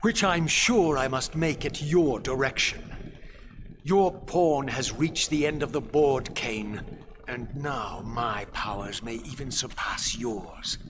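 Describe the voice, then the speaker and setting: An actor playing an embittered undead wraith in a video game, defiant and sardonic, with biting formal diction. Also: male voice, medium pitch, slow pace, noisy background, natural voice. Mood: cold, resolute. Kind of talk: dramatic video game cutscene acting.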